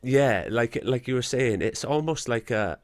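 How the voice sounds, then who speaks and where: A man's voice: medium pitch, expressive, a little slow, no room sound, clean studio sound. A young Welshman from the south, hosting a podcast in casual, earnest and self-deprecating conversation. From Wales. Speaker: podcast host.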